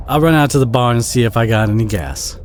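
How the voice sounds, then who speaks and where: A man with a Southern US accent playing a kind, protective, bashful country boy in an audio roleplay, speaking in a gentle, folksy, hesitant way with a husky voice. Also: medium pitch, fast talker, slight background noise, natural voice. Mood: easygoing. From southern United States. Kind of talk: audio roleplay acting, addressed to the listener.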